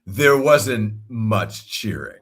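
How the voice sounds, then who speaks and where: A low, husky American man talking sports on a podcast. He takes his time, but his pitch rises and falls a lot as he rambles and chuckles through his points. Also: expressive, extremely slow, fairly bright tone. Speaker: podcast co-host. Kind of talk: casual sports chat.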